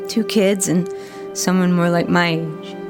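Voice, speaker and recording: A woman's voice: fairly low pitch, fairly even intonation, a little slow, music in the background. A woman playing a frightened witness recalling something eerie in a horror audio drama. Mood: eerie, subdued.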